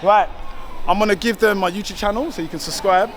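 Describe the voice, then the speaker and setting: A man's voice: high voice, a little fast. A man from London with a London accent, chatting casually as a travel vlogger and street interviewer, informal, familiar and often repeating himself. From London, England. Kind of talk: casual vlog chat. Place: outdoors on a city street. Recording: noisy street, traffic and crowd noise.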